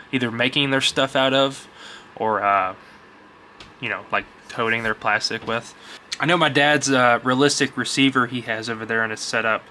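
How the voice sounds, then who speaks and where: Male vlogger, bright forward voice, mid pitch, informal rambling delivery with folksy phrasing. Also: a little hiss or hum. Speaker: YouTube vlogger, vintage electronics collector. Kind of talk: casual rambling vlog chat.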